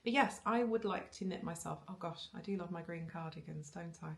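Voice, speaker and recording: Low, gravelly woman chatting. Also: a little fast. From Wales. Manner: chatty, rambling, with frequent ums and restarts and little laughs. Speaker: knitting vlogger. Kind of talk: casual chat to camera. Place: living room with bookshelves, indoors. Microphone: camera on a tripod facing her.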